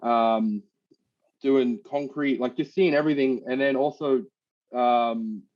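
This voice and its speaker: A man born in Australia who picked up an American accent, talking easily on a call and often pausing with ums while he describes his trade work. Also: mid-range voice, lo-fi sound. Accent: American-tinged Australian. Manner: relaxed, hesitant with many ums and uhs. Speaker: guest, machine demonstrator and trainer. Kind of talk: casual interview over a call.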